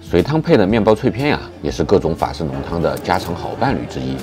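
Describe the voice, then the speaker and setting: A man's voice: low-pitched, very animated, fast talker, over music. A man reading a playful scripted voice-over as the narrator of a food and travel vlog.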